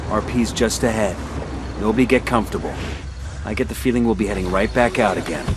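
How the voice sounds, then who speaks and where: An actor playing a no-nonsense special forces squad leader in a military video game, terse and commanding. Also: male voice, lowish voice, a little fast, background noise, lightly acted. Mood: focused, commanding. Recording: music behind the voice.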